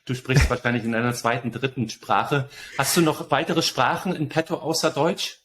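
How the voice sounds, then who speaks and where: A man's voice: medium pitch, fairly even intonation, normal speed, fairly bright tone. Male podcast interviewer, some background noise. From Germany. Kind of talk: casual interview moderation.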